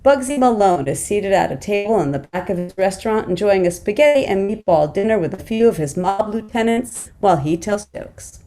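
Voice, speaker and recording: Mellow-voiced woman narrating a script. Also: medium pitch, expressive, a little fast, hardly any pauses. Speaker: stage-direction narrator. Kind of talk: scripted narration at a table read.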